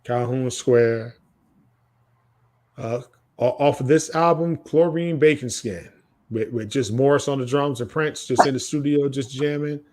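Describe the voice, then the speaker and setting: A man's voice: medium pitch, unhurried, a little mellow. A man hosting a podcast, talking casually and enthusiastically about music in emphatic, repetitive, slangy phrases. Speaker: podcast host.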